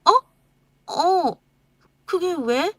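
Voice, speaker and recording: A woman's voice: big pitch swings, moderate pace, fairly bright tone, no echo. A woman playing a hurt, indignant wife. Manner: tearful, indignant, voice breaking high. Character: hurt young wife pleading and arguing back.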